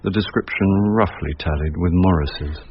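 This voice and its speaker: An actor playing a wry, world-weary older sleuth in a radio drama, with an English accent and a dry, deadpan delivery. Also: male voice, sits low, normal speed, lightly acted. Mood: wry, probing. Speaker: narrator and lead character. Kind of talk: radio drama acting with first-person narration. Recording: muffled, noisy lo-fi radio drama recording.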